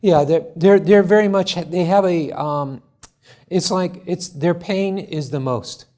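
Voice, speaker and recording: A man presenting his startup at a conference forum, answering judges' questions in a halting, earnest way full of restarts. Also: high-pitched, darkish tone. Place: conference hall.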